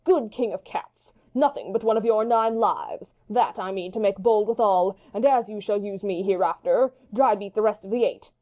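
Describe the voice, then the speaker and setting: Hot-headed young wit played by a woman, mid pitch, theatrical mockery, classical stage verse, slightly dull recording. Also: moderate pace, few pauses, natural voice. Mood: scornful, taunting. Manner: taunting, theatrical, verbose. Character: hot-headed, mocking, witty young male swordsman. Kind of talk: Shakespeare audiobook dramatic reading.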